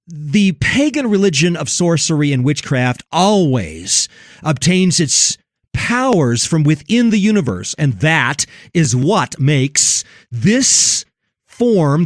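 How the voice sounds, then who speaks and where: A man's voice: a little high, no room sound, clean studio sound. Emphatic man giving preacherly commentary. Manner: emphatic, preacherly, stressing key words. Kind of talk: opinionated religious commentary in conversation.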